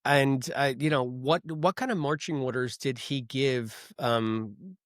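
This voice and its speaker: A man's voice: mid-range voice, takes it slowly, no room sound, studio quality. A man co-hosting a casual podcast, thinking aloud and wondering about questions. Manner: thoughtful, wondering aloud, reads listener comments. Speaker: podcast co-host.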